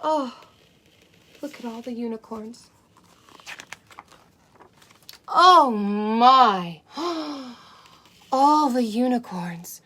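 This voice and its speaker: A woman's voice: a little high, expressive, drawn-out words, pushed and bright, husky, exaggerated voice. A woman playing a sweet, wide-eyed unicorn who hosts story time for children, with cooing wonder and exaggerated emphasis. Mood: enchanted, cooing. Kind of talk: animated picture-book reading for children.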